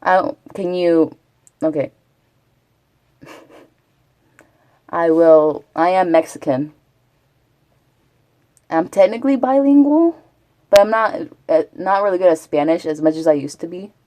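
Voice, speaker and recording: Woman streamer, low voice, dry close sound, rambling casual chatter to her viewers. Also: animated, unhurried, long pauses, dark tone, no room sound. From Texas. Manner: chatty, rambling, answers chat. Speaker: Twitch streamer. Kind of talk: casual live-stream chat.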